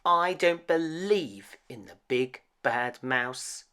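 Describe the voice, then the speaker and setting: A man's voice: medium pitch, animated, takes it slowly, bright, forceful tone, smooth. A man voicing a bold, inquisitive young female monster child from a storybook, exclaiming and questioning. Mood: defiant.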